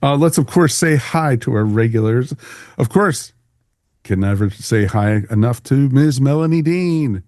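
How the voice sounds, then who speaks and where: A man's voice: medium pitch, expressive, measured pace, darkish tone, no echo, clean studio sound. A man hosting a podcast in a casual, chatty way, with a jokey, folksy manner. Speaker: podcast host.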